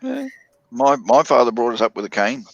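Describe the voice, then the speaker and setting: A man talking casually in a group chat, halting, with frequent restarts and fillers. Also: medium pitch, huge pitch swings, gravelly, dry recording, lo-fi sound.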